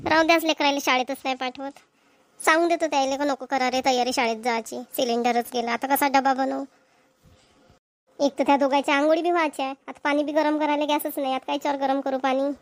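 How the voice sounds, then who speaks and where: A fretful cartoon homemaker acted by a woman with a rural Vidarbha accent. She fusses over household problems aloud in a very high, fast voice. The sound is dry. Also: no echo, close to an everyday voice. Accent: Maharashtrian, rural Vidarbha dialect. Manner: fussing, talks problems through aloud. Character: fretful homemaker mother of two young children. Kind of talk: Marathi cartoon comedy dialogue and monologue.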